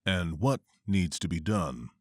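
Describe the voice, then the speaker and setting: Brooding wealthy crimefighter, husky bass, formal, measured, dry close sound, animated comic drama. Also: male voice, dry recording, exaggerated voice. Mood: polite, reserved. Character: brooding, reserved wealthy vigilante in his prime.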